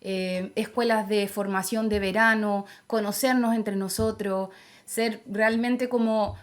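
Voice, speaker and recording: A woman's voice: mid-range voice, fairly even intonation, slow. A young adult woman with a Chilean accent, giving reflective opinions in a conversational interview. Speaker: interview guest, political activist.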